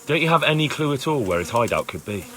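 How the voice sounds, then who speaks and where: A man's voice: medium pitch, sing-song, quick pace, sharp tone, slight background noise. An actor playing a determined young man in a video game, pressing people with matter-of-fact questions. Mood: earnest, probing. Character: determined young man searching for a missing villager. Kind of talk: video game cutscene acting.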